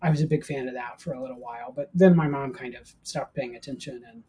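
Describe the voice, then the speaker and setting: Husky, mellow American man telling stories. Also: medium pitch, quick pace. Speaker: guest, professor of ancient Christianity. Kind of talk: casual interview storytelling.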